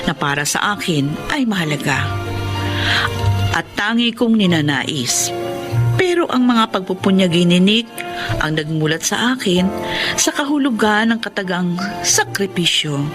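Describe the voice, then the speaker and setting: A woman playing a reflective wife who narrates her life story in measured, dramatic style. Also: mid-range voice, animated, over music, close to an everyday voice. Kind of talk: dramatic scripted first-person narration.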